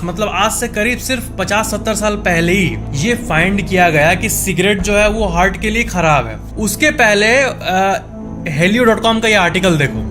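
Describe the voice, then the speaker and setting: A man with a high-pitched voice narrates an informal facts video over background music. He explains things conversationally, speaking directly to the viewer and restating his points as he goes.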